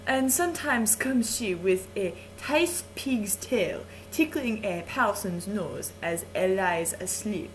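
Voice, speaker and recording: A woman playing a slow, flirtatious Frenchman. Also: mid-range voice, animated, a little hiss or hum, rough recording. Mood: sultry. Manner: slow, lingering, suggestive delivery with chuckles. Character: flirtatious vain adult Frenchman, seductive storyteller. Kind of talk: playful dramatic reading of verse.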